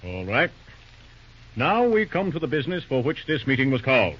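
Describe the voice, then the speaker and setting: A man's voice: medium pitch, very animated, moderate pace. A man playing a pompous, formal railroad president, easily flustered, in an old-time radio drama. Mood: formal, brisk. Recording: dull noisy old radio recording.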